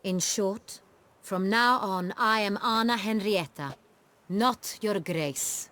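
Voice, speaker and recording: An actress playing a proud, strong-willed duchess in a fantasy game, speaking in a formal, commanding, regal manner. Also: female voice, medium pitch, slow pace, a bit bright.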